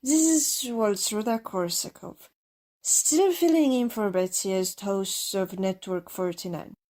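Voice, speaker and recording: An actress playing a bitter, catty TV news anchor with a deliberate, snide manner in an audio drama. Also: female voice, medium pitch, mellow, close to an everyday voice. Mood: bitter, formal.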